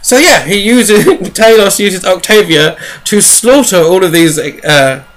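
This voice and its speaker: A man with a bright, forward voice sitting a little high in pitch. He talks as a podcast host giving a casual recap. His delivery rambles and is sprinkled with dry, jokey asides. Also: lively intonation, unhurried. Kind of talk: casual chatty book recap.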